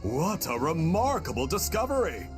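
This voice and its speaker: A man voicing a thoughtful, deliberate villager in a video game, measured and mildly amazed. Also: fairly high pitch, sing-song, over music. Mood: pondering, amazed. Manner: deliberate, pondering. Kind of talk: video game character acting.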